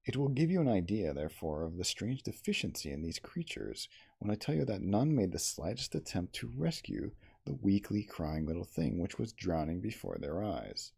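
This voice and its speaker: A man reading literary fiction aloud in a measured, theatrical, formal storytelling manner, in an ASMR style. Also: low voice, sing-song, vocal fry, a little mellow, husky. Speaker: audiobook-style reader.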